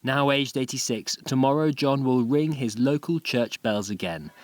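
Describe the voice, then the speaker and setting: A man's voice: medium pitch, normal speed, a little mellow, no room sound, soft background music. A man with a British accent reading scripted narration for a radio news feature as a reporter.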